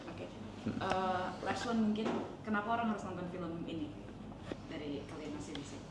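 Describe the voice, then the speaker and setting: A woman's voice: lowish voice, normal speed, far from the mic. A woman asking questions in a casual way as an interviewer. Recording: very echoey, off-mic, noisy room ambience.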